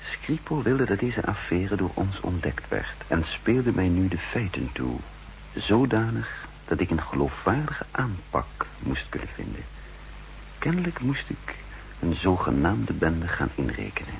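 Low, gravelly brooding spy narrator. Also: male voice, big pitch swings, a little slow, full character voice, poor audio. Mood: brooding, calculating. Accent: Netherlands Dutch. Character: brooding male undercover double agent narrating his own story. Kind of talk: 1960s radio drama narration. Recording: hissy 1960s radio recording.

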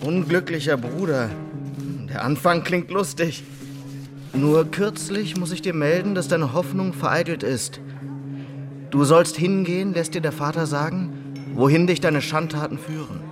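An actor playing a rough student comrade in a radio play, with a deliberate, dramatic delivery. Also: male voice, on the high side, close to an everyday voice. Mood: grave, ironic. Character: rough student comrade reading a grim letter aloud. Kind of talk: German radio play acting. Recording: plucked guitar music behind the voice. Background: guitar music.

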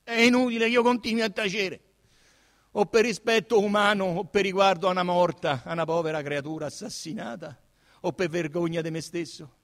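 A man playing an agitated young male murder suspect on stage, pleading and confessing in halting, insistent, self-justifying bursts. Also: high-pitched, steady tone, fairly bright tone. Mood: desperate confession. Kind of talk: theatrical dramatic monologue. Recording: reverberant hall.

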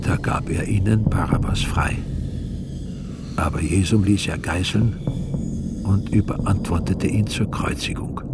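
Solemn bible narrator, radio play, low gravelly measured voice, loud rumble behind. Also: male voice. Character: solemn male evangelist narrating the Passion. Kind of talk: scripted scripture narration in radio drama.

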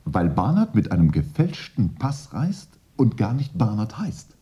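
Restless, animated young vice-consul. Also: male voice, lowish voice, a little slow, echoey room. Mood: suspicious. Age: 20s. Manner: questioning, opinionated. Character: restless, suspicious young English vice-consul, twenty-four. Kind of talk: German radio drama acting.

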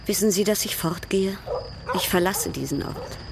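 An actress in a radio drama playing a proud baroness who speaks formally, with pointed, direct questions. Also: female voice, medium pitch, normal speed, gravelly, crowd noise, close to an everyday voice. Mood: cool, probing. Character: proud, probing baroness, coolly direct and teasing.

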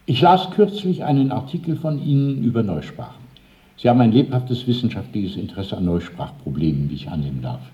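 An actor playing a courteous, calculating senior party official, speaking formally and deliberately in a radio drama. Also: male voice, lowish voice, sing-song, dark tone. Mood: smoothly courteous. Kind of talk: German radio drama acting. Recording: dull, roomy old radio drama recording.

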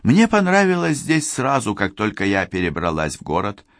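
A man's voice: on the low side, huge pitch swings, moderate pace, fairly bright tone, no room sound, clean studio sound, pitched above the performer's own voice, heavily acted. A man voicing a playful young woman who is a stage magician, speaking in a light, teasing way.

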